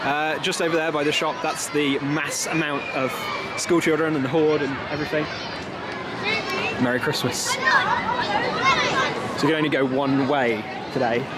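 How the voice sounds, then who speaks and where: Young British man vlogging amid loud crowd noise. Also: fairly high pitch, steady tone, normal speed, pauses often. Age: young adult. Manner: chatty, informal, addressing viewers. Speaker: travel vlogger. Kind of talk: casual vlog chat. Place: outdoors in a theme park. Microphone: handheld camera at arm's length. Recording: noisy busy outdoor crowd ambience. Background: crowd and outdoor park noise.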